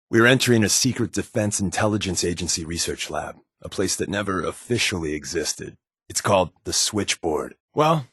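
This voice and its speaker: An actor voicing a sardonic, wisecracking secret agent companion in a video game, with a dry, joking manner. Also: male voice, lowish voice, husky, no room sound. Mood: matter-of-fact. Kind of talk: game voice acting.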